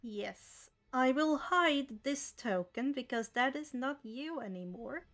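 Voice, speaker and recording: A woman's voice: high-pitched, animated, slow pace, a bit bright. A woman acting as game master, narrating and guiding an online tabletop roleplay.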